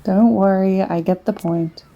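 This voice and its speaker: A woman's voice: mid-range voice, fairly even intonation, a little slow, lo-fi sound, slight background noise, natural voice. A woman playing a plucky, trusting young companion in a fan audio drama, with a plain, questioning, lightly dry manner. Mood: worried, dry.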